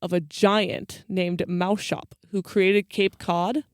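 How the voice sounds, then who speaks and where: A woman's voice: mid-range voice, level tone, slow pace, clear, resonant tone, no echo. A woman co-hosting a podcast, telling stories casually with flippant, slangy asides. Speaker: podcast co-host. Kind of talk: casual storytelling chat.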